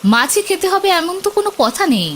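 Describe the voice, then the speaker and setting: A woman's voice: very high voice, big pitch swings, brisk, fairly bright tone, a little hiss or hum, exaggerated voice. A woman voicing a weary village mother in a cartoon, chiding and plain-spoken. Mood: weary, matter-of-fact. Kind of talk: cartoon voice acting.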